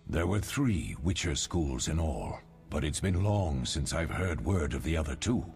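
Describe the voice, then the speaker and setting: An actor playing a wise, fatherly older mentor of monster hunters in a video game, measured and instructive. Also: a bass voice, very animated, vocal fry, darkish tone, faint music behind, full character voice. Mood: reflective.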